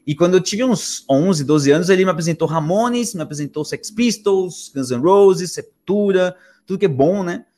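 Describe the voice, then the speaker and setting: A man's voice: high voice, lively intonation, normal speed, hardly any pauses, dark tone. A man from Argentina with a Brazilian Portuguese accent, chatting casually in a slangy, rambling way and often restarting his sentences. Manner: rambling, slangy, self-interrupting. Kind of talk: casual conversational storytelling.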